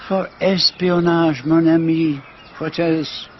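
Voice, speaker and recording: An actor playing a shrewd, courteous retired Belgian detective in a radio drama, with a French-speaking Belgian accent and precise, formal, teasing delivery. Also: male voice, fairly high pitch, flat delivery, takes it slowly, highs cut off, a little hiss or hum. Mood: shrewd, knowing.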